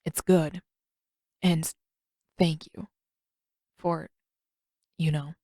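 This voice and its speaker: A woman's voice: sits low, steady tone, dark tone, no room sound, heavily acted. Woman voicing a gloomy, grudging teenage prince. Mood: awkward, halting. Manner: dry, halting, grudging.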